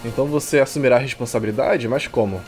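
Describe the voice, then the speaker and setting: A man's voice: medium pitch, fast. A Brazilian man reading a visual novel aloud as a streamer, with irreverent, slangy commentary. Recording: game music behind the voice. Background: game music.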